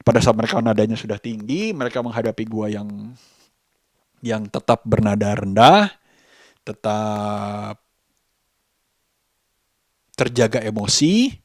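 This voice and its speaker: A man talking casually as a vlogger, halting and deliberate, repeating phrases for emphasis. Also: medium pitch, no room sound, studio quality. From Indonesia. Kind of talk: casual vlog monologue.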